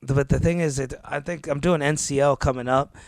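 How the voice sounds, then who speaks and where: A man co-hosting a podcast, telling travel stories in a laid-back, animated way and calling his listener dude and bro. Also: mid-range voice, a little fast, no room sound. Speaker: podcast co-host. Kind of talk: casual chat. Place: New York.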